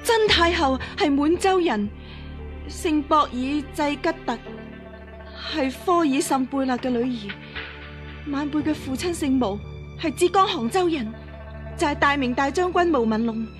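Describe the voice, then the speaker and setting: An actress in a radio drama playing a frightened, scheming fake empress who pleads and stammers. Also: female voice, sits high, unhurried, over music. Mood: earnest confessing. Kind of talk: Cantonese radio drama acting.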